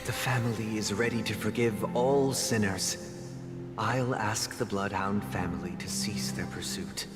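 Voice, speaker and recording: An actor playing a devout, brooding young confessor who speaks in a formal, measured, pious manner. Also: male voice, on the low side, close to an everyday voice. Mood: calm, grave. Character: solemn soft-spoken young priestly man, devout and brooding. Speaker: confessor and family leader. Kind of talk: formal, dramatic game voice acting. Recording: echoey hall, loud music behind.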